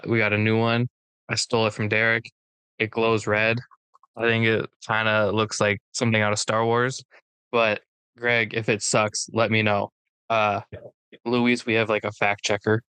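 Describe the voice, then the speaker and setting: Brief: a jokey co-host with a low, smooth voice and a dry, close recording. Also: male voice, level tone, measured pace, sharp tone, no room sound, low-quality recording. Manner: joking, chatty, loose rambling asides. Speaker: podcast co-host. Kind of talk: casual podcast chat.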